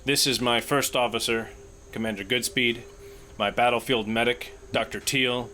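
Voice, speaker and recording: A man's voice: mid-range voice, steady tone, normal speed, sharp tone, lightly acted. A man playing a formal, militaristic starship captain in a tabletop game, speaking ceremoniously. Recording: clear background noise.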